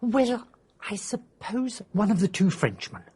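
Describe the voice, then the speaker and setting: An actor playing an eccentric, self-pleased English male mystery writer in a radio drama, effusive and theatrical, with a husky voice. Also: high voice, big pitch swings, a little slow, warm tone, exaggerated voice. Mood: musing.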